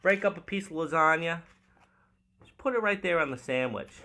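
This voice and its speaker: A man plays a quirky, chatty host who makes odd sandwiches and interviews his guest. His voice is very bright and a little high, and it swoops up and down. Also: expressive, moderate pace. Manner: chatty, playful, hosting a mock show. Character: quirky male sandwich maker called Shortcake. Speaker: comedic host.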